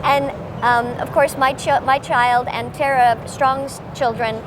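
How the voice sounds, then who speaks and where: A woman voice actor chatting in an interview, telling stories in a lively way with playful voice bits. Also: sits high, slow. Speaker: voice actor guest. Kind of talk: casual interview chat. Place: busy convention. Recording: noisy convention floor.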